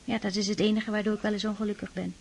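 An actress playing a shy, devout aristocratic sister in a Dutch radio drama, speaking earnestly and tenderly, with pleading admonishment. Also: female voice, mid-range voice, flat delivery, rapid-fire, creaky, natural voice. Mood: earnest, admonishing.

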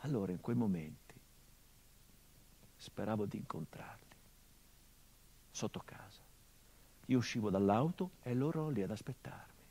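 A brooding, bitter grieving son. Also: male voice, lowish voice, lively intonation, moderate pace, vocal fry, pauses often, husky, full character voice. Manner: brooding and bitter, swinging from hushed to impassioned. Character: grieving middle-aged son of a murdered journalist. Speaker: actor in a stage monologue. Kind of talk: theatrical monologue broadcast on radio.

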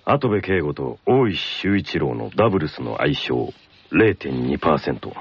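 A man playing a calculating anime schoolboy data analyst, measured and deadpan. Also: rumbling low, husky, pitched below the performer's own voice, heavily acted. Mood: cool, calculating. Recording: muffled recording with loud background noise.

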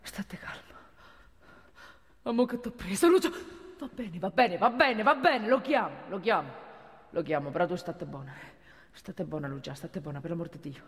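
A woman's voice: lowish voice, normal speed, pauses often, in a large hall, pitched below the performer's own voice, lightly acted. A woman playing an older male Roman security guard in a comic stage monologue, colloquial, pleading and exasperated, with a Roman accent. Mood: nervous pleading.

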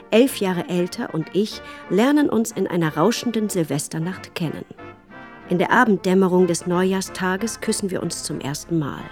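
An actress as an educated, critical woman journalist telling her own story in a radio play. Her mid-pitched voice is measured and dry, like someone reading out a chronicle, with faint music underneath. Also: darkish tone, natural voice. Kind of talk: scripted first-person radio drama narration.

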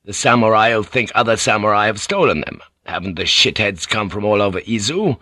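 A man's voice: lowish voice, brisk, bright, forceful tone, no echo, clean studio sound. A man voicing a defiant village headman and old fighter in an audiobook, emphatic, persuasive and earthy. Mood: rousing, defiant.

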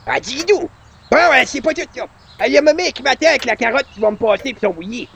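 A man playing a folksy, familiar old grandpa with a creaky voice, rambling colloquially in a Quebec accent in a radio comedy. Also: very high-pitched, animated, brisk, fairly bright tone, slight background noise, heavily acted. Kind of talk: 1940s radio comedy acting.

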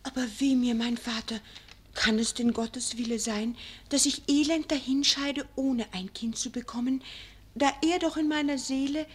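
A woman's voice: high voice, steady tone, moderate pace, breathy edge. An actress playing a naive young noblewoman in a 1940s radio play, earnest and pleading in formal, archaic speech. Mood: earnest longing. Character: naive young noblewoman, earnest maiden wife longing for child.

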